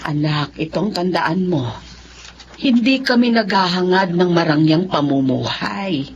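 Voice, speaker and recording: A woman playing a caring, gently scolding middle-aged mother in a Filipino radio drama. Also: on the low side, lively intonation, measured pace, dull audio, slight background noise. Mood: worried, tender.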